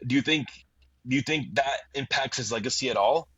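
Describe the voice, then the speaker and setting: A man's voice: medium pitch, a little fast, bright, forceful tone, husky, dry recording. A man from Brazil hosting a football podcast, talking with many hesitations and fillers while steering the talk with questions to his guests. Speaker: podcast host. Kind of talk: casual football discussion. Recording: dull lo-fi mic.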